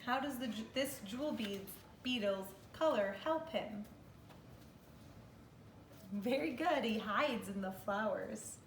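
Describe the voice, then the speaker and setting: A woman reads aloud like a teacher of small children, in a fairly high, unhurried voice. She is expressive and instructive, putting questions to her listeners and answering them. The sound is roomy, as if the microphone sits a little way off in a living room. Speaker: kindergarten teacher. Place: living room at home, indoors. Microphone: camera or phone a few metres away. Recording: roomy, distant mic, faint noise.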